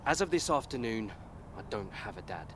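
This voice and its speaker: A man's voice: on the low side, big pitch swings, fairly quick. A young man acting a hurt, angry son in a radio soap, with faint street noise behind. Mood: bitter.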